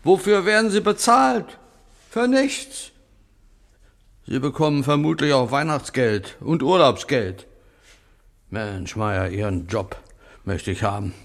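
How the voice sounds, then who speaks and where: An actor playing an indignant, suspicious resident who complains and scolds self-righteously in a radio drama. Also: male voice, mid-range voice, expressive, slow, vocal fry, long pauses. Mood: scornful. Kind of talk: German radio drama acting.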